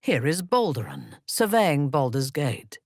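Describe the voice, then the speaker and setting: Casting: a menacing warrior cleric for a fantasy game, deep female voice swinging widely in pitch, haughty and ruthless. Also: wildly swooping pitch, a little mellow, no room sound, clean studio sound, heavily acted. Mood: haughty, dry. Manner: haughty, deliberate, theatrical delivery. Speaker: video game character voice. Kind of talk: dramatic fantasy game voice lines.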